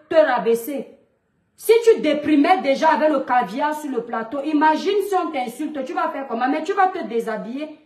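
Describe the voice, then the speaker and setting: Thirties woman with a Cameroonian French accent, high voice, scolding monologue, roomy echo. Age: 30s. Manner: scolding, lecturing, rhetorical questions. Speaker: mother addressing a public figure online. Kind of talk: monologue video rant.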